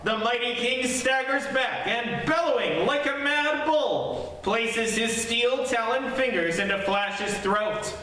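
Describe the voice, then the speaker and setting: An actor playing the excitable narrator of a pulp space serial, with dramatic scripted radio delivery. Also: male voice, extremely high pitch, slow, full character voice. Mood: excited. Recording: very echoey distant stage recording, clear noise.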